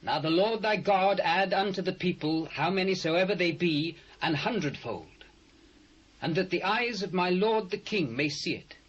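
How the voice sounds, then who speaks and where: Loyal old testament captain, british, pretty high pitched, earnest, dull tape sound, bible audio drama. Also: male voice, lively intonation, moderate pace. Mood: earnest, doubting. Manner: earnest, declamatory. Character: loyal army captain questioning his king. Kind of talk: dramatised scripture reading. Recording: muffled old cassette sound.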